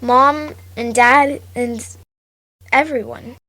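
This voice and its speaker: A woman's voice: a little high, fairly even intonation, slow pace, sharp tone, dry recording, full character voice, rough recording. A female performer playing an earnest, anxious young girl in an audio drama, with hesitant, wide-eyed delivery.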